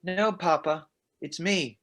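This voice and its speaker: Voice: medium pitch, slow pace, a bit bright. A performer playing an eerie small boy in a table read. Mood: soft, childlike.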